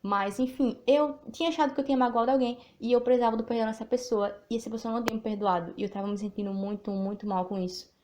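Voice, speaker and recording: A woman's voice: fairly high pitch, fairly even intonation, fast, hardly any pauses, darkish tone, slight background noise. A woman from Northeast Brazil with a Sergipe accent, hosting a podcast, chatting in an informal, reflective way and reading aloud a text she wrote. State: a little nervous. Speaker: podcast host and writer. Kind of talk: podcast monologue with reading of her own text.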